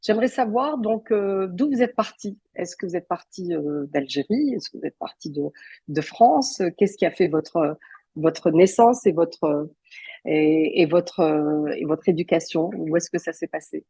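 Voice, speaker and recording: A woman's voice: lowish voice, a little slow, dark tone, husky, no echo. A woman hosting a talk show, asking a guest formal, courteous questions with frequent repetitions. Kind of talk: interviewing a guest.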